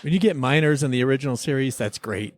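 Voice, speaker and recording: A man's voice: mid-range voice, lively intonation, fast talker, no room sound, clean studio sound. A man co-hosting a casual review chat, talking as an enthusiastic fan. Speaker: podcast co-host reviewing TV episodes.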